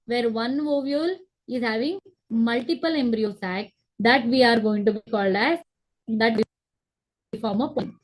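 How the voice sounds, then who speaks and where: A young woman with a fairly high voice teaches a biology lesson from a room at home. She speaks into a laptop webcam on a video call. She goes through each step slowly, repeats the key words, and keeps checking that her students understand. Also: a little mellow, low-quality recording. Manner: explains step by step, repeats key terms, asks students to confirm. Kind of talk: online class lecture.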